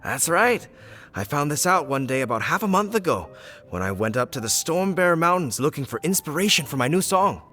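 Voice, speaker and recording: High-voiced, theatrical male bard. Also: sing-song, brisk, sharp tone, faint music behind. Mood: chummy, eager. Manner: theatrical, boastful, pleading. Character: dramatic, earnest traveling male bard. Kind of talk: video game voice acting.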